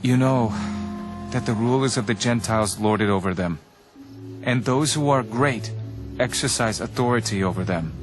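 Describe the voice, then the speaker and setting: An actor playing a calm, authoritative male teacher in an audio Bible drama, speaking in a solemn, measured, earnest way. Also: lowish voice, natural voice. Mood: solemn, instructive. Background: background music.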